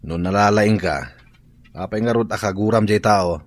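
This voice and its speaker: A man's voice: on the low side, normal speed, dry recording, close to an everyday voice. A man playing a reasonable, questioning husband in a Filipino radio drama. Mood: questioning, exasperated.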